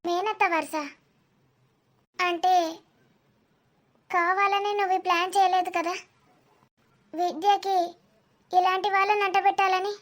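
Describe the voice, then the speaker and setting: Cartoon family-drama mother, female performer: extremely high voice, proud, wary, indignant, formally polite. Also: level tone, a little slow, long pauses. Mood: suspicious. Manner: polite wording, questioning, indignant. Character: proud, wary middle-aged mothers and aunties.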